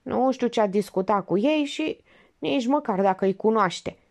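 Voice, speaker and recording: An easily offended male bit-part actor dodges questions with curt, defensive answers, as a woman reading aloud voices him. The voice sits high with lively swings in pitch. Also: vocal fry.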